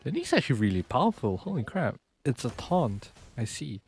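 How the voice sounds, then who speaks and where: A man's voice: mid-range voice, sing-song, fairly quick. A man giving casual, slangy live reactions as a game streamer, often in short exclamations. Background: game music.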